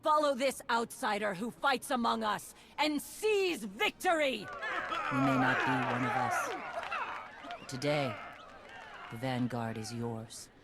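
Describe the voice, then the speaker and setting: Tough warrior commander; blunt, dry, rallies troops. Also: female voice, medium pitch, big pitch swings, a little slow, gravelly. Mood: rallying.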